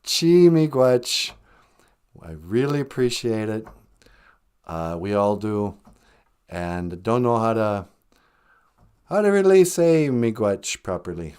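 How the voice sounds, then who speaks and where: Animated man hosting a casual chat. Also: on the low side, slow, pauses often, smooth. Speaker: podcast host and musician.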